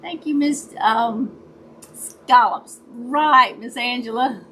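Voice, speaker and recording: A woman with a raspy voice, chatting casually with her viewers during a crafting livestream and greeting them by name. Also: on the high side, slow pace, pushed and bright, a little hiss or hum. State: raspy voice, dry throat. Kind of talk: casual chat while crafting.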